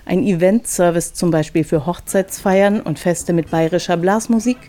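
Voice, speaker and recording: Mellow, low-pitched woman narrating a report. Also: moderate pace. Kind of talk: scripted documentary narration.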